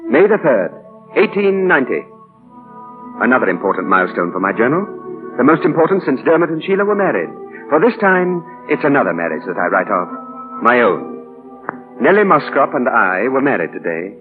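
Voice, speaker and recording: An actor playing an earnest, ambitious young English writer from the slums, with theatrical, emotional 1940s radio delivery. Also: male voice, mid-range voice, sing-song, fairly quick, phone-quality sound, close to an everyday voice. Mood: reflective. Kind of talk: 1940s radio drama acting. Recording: band-limited old 1940 radio broadcast with music.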